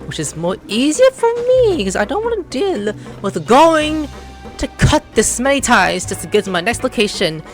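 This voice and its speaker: A woman's voice: on the high side, big pitch swings, moderate pace. A woman doing playful game commentary and reading the dialogue aloud as she plays. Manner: playful, bursts into loud put-on voices. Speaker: game streamer. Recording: music behind the voice.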